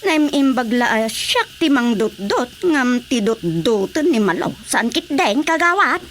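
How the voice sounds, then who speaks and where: A woman playing an excitable, complaining village gossip in a Filipino radio comedy drama, with a Filipino accent. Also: fairly high pitch, unhurried, faint music behind. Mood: gossipy, flustered.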